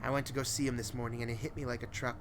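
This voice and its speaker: Male narrator as a grieving wife, brittle, wounded, quick-tempered, horror audio story, noisy recording. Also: mid-range voice, flat delivery, lightly acted. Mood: subdued, apologetic. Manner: emotional, wounded. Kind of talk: dramatic horror story reading.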